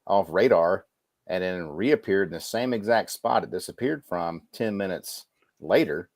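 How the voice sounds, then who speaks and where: A man's voice: low voice, animated, moderate pace, no echo, clean studio sound. A man talking casually as a podcast co-host in a panel discussion.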